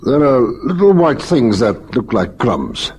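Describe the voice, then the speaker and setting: Methodical police lieutenant. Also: male voice, mid-range voice, big pitch swings, a little slow, a little mellow, husky, heavily acted. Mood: probing, thoughtful. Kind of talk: vintage radio crime drama acting. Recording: noisy old radio drama recording.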